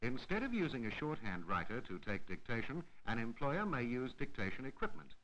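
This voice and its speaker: Male narrator, for a 1960s instructional film: formal scripted read, crisp forceful tone, animated intonation. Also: mid-range voice, fairly quick, highs cut off.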